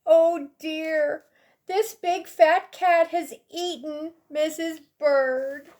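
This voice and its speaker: A woman's voice: high-pitched, steady tone, drawn-out words, a little mellow, voice pushed up. A woman voicing a cheerful, devoted male bird in a children's storybook, speaking in an earnest, exclamatory, emotional way. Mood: grieving.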